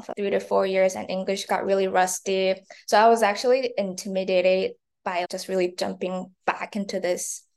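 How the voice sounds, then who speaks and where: Young woman from taiwan and china, creaky voice, chatty interview answers, says like a lot. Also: mid-range voice. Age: early 20s. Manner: chatty, frequent "like". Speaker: interview guest, marketing intern and university student.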